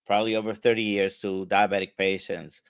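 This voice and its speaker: A man's voice: on the low side, flat delivery, brisk, clear, resonant tone, no room sound, clean studio sound. A male doctor from Puerto Rico, explaining diet to an interviewer in an emphatic, conversational way with rhetorical questions.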